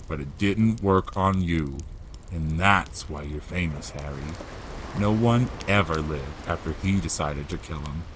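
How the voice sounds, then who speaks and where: A man playing a gruff, kindly, grieving and protective giant with folksy, rough grammar in an audiobook drama. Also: on the low side, a bit bright, slight background noise, rough recording. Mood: sorrowful.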